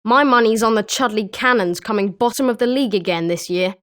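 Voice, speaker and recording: A woman's voice: a little high, a little fast, a bit bright, close to an everyday voice. A woman voicing a chatty, opinionated young student at a wizard school in scripted video game lines.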